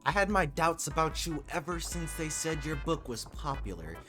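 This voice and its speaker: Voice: mid-range voice, expressive, moderate pace. Sarcastic gamer commentating over game music. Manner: sarcastic, slangy, mock-exasperated. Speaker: gaming video host. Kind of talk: casual reaction commentary over gameplay.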